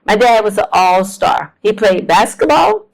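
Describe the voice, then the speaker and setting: Older woman in her 70s, slow and unhurried, homespun storyteller with ums and wry self-mocking humor, speaking to a room. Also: mid-range voice, expressive, gravelly. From South Florida, US. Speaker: guest speaker. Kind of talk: storytelling talk to an audience. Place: lecture room with a live audience.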